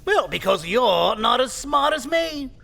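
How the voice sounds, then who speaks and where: A man playing a cheeky, dim old prisoner in an audio drama, stammering and chuckling as he rambles in a creaky, cackling voice. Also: extremely high pitch, sing-song, a little slow, bright, forceful tone, heavily acted. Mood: chuckling, scheming.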